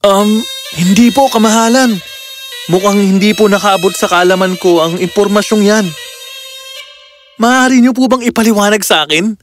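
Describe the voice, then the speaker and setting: Kind, courteous fairy-tale prince, put on by a man, youthful and earnest, high pitch, prominent music bed. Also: normal speed, long pauses, close to an everyday voice. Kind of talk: children's fairy-tale dialogue. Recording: music clearly behind the voice.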